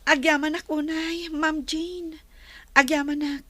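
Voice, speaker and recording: Meek, high-voiced young student. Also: female voice, flat delivery, slow, fairly bright tone, no echo. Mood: grateful. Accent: Filipino. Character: meek, patient, mistreated young female student. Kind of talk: radio drama acting.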